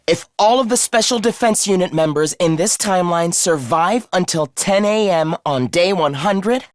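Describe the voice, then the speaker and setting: A man's voice: sits high, fairly bright tone, no echo, clean studio sound. A man voicing a smug, theatrical young male student in a video game, mock-polite and taunting. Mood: earnest. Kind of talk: English video game voice acting.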